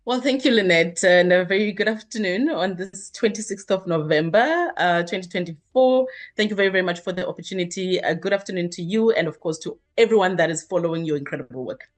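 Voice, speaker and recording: Woman, thirties, low voice; earnest interview guest who talks at length with fillers. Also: brisk, hardly any pauses. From South Africa. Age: 30s. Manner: earnest, expansive, many fillers and restarts. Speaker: guest, activist. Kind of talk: interview answers.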